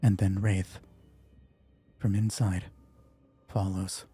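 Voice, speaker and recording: Slow, dark-voiced man narrating a game. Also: low-pitched, flat delivery, husky, soft background music. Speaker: solo roleplay host and game master. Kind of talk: dramatic narration of a tabletop game.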